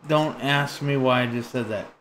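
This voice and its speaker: A man's voice: mid-range voice, normal speed, a bit bright, slight background noise. A man streaming a video game, chatting casually with crude, joking banter while he plays. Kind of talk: casual gaming chat.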